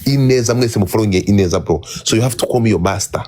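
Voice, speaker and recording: A man's voice: on the low side, quick pace, darkish tone. A man hosting a livestream in casual banter, teasing his guest and switching between languages. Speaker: livestream host.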